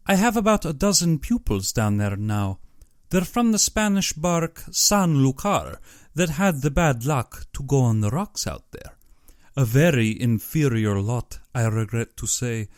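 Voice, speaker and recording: A man's voice: mid-range voice, expressive, measured pace, no room sound, clean studio sound, voice pushed up, lightly acted. A man voicing a genteel, menacing general in an audiobook, with pompous and exaggeratedly courteous speech. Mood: smug politeness. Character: genteel, menacing aristocratic general who hunts men.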